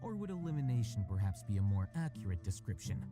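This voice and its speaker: A dry, ironic scholar. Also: male voice, lowish voice, fast, music in the background. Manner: calm, dry, ironic, precise. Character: aloof sardonic male scholar, adult. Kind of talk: video game cutscene voice acting.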